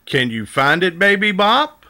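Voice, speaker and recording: A man's voice: sits high, sing-song, unhurried, pushed and bright, heavily acted. A man reading the part of a cheerful, helpful big dinosaur in a children's storybook, encouraging and sing-song.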